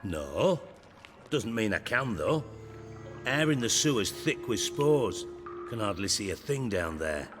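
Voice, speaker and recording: Deep, sarcastic crime boss, echoing hall. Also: male voice, very animated, unhurried. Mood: exasperated. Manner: sarcastic, curt, profane. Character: cynical, foul-mouthed, middle-aged crime boss, former count. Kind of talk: video game cutscene dialogue. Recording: reverberant hall sound, loud background music.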